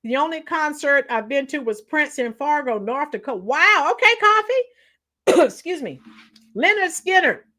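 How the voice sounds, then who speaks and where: Hoarse middle-aged woman chatting with viewers. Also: high-pitched, animated, unhurried, pushed and bright. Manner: chatty, reads out comments and sings along to songs. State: hoarse, losing her voice. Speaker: livestream host. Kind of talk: casual livestream chat with viewers.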